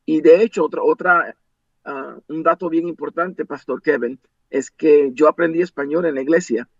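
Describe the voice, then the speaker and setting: A man in his sixties, a pastor born in the United States whose native language is English, calmly recalling his childhood as an interview guest. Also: on the high side, dry recording. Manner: measured, reflective recollection. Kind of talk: interview, personal storytelling. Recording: dull dry lo-fi audio.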